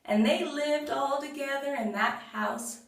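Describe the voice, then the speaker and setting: A woman narrating a children's folktale in a measured way, with sing-song refrains. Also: sits high, vocal fry. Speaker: storyteller. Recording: very roomy echo, far from mic.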